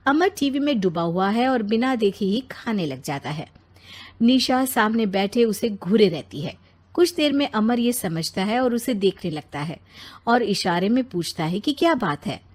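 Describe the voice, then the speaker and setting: A woman's voice: medium pitch, expressive, measured pace, a little mellow. A woman narrating a scripted moral story for a cartoon.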